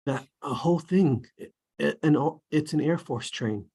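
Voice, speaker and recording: An actor playing an earnest, thoughtful, preoccupied boy at a screenplay table read, hesitant and husky. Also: medium pitch, expressive, moderate pace, dark tone.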